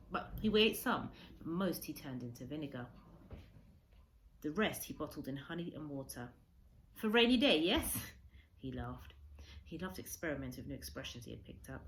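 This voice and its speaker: A woman reading a storybook aloud as a teacher would, expressively, with measured dramatic emphasis. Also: low voice, slight background noise, rough recording.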